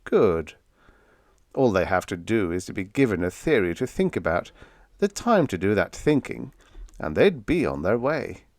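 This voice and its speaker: Man reading as a confident, animated company boss. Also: on the low side, moderate pace, dry recording, clean studio sound. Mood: confident, rallying. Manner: explanatory, rallying speechmaking. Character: confident, persuasive male boss of a rocket company. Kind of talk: audiobook character dialogue.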